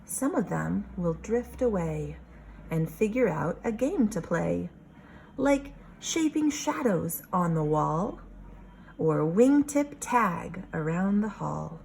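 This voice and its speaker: Unhurried low-voiced woman teaching young children. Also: vocal fry, a little hiss or hum, poor audio. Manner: expressive, questioning, explaining to young children. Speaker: kindergarten teacher reading aloud. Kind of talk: children's picture book read-aloud with teacher asides.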